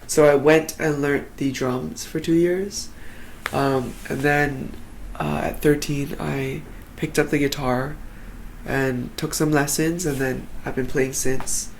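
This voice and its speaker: A man's voice: fairly high pitch, slow pace, vocal fry, slight background noise. A young man from Hong Kong, a singer-songwriter, talking casually in a documentary interview with lots of "like" fillers. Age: young adult. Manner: casual, relaxed, heavy use of "like" fillers.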